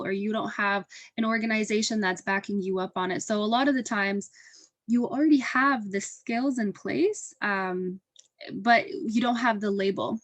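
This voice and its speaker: A woman's voice: mid-range voice, no echo. Young woman speaking reflectively, dry sound. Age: young adult. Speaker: webinar panelist. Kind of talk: earnest spoken interview answer.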